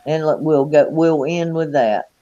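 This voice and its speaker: High-voiced man in his fifties, slow. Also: dark tone. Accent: American. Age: 50s. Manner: slow and drawn out. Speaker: co-host. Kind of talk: casual chat. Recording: dull lo-fi sound.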